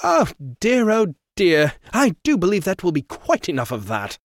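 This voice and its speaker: Guy doing a snooty boss, high voice, scolding. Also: huge pitch swings, moderate pace, no echo, clean studio sound, exaggerated voice. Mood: haughty. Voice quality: pompous, clipped, scolding. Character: haughty British male ship owner, pompous.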